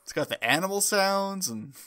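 A man's voice: sits high, animated, normal speed, bright, forceful tone, no echo, clean studio sound. A man, perhaps in his thirties, co-hosting a podcast with casual, joking talk. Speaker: podcast co-host.

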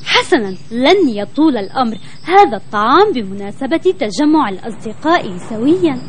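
Kindly kangaroo cook, high female voice, cartoon, light noise. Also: big pitch swings, normal speed. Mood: friendly. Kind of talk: children's cartoon dub.

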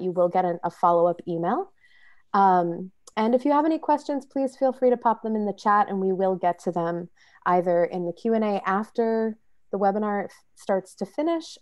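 A woman's voice: medium pitch, a little mellow. A woman hosting a webinar over a video call, welcoming attendees and covering housekeeping in a chatty way. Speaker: webinar host, marketing manager.

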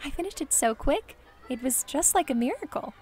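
An actress playing a deferential, fluttery household servant in a radio-style drama. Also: female voice, high-pitched, lively intonation, fairly quick, darkish tone, soft background music. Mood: eager.

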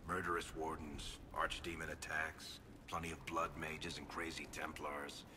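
Sardonic male rogue for fantasy game: very low, wry, weary, noisy ambient background. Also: level tone, a little slow. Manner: dry sarcasm, hesitant. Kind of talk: naturalistic fantasy game acting.

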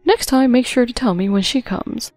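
A woman voicing an elderly mother-in-law who speaks calmly and firmly. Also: a little high, moderate pace, dry recording, faint music behind, pitched above the performer's own voice, close to an everyday voice.

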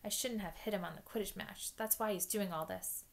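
A woman's voice: medium pitch, close to an everyday voice. A woman reading aloud voices an anxious teenage boy wizard in a storybook. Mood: fretful. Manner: whispering, then fretful and rushed.

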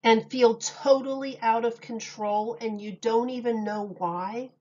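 A woman reads a children's picture book at an unhurried, slow pace. She speaks like a teacher, in simple phrases with questions to little listeners. The recording is slightly dull and lo-fi. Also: fairly high pitch, fairly even intonation, a little mellow. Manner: simple, deliberate phrasing addressed to small children. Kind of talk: children's read-aloud with questions to young listeners.

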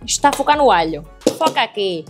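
A woman's voice: on the high side, very animated, fast, over loud music. Chatty Portuguese woman cooking at home. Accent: European Portuguese. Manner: chatty, addresses viewers directly while cooking. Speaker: cooking vlogger. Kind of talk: casual cooking tutorial. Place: home kitchen, indoors.

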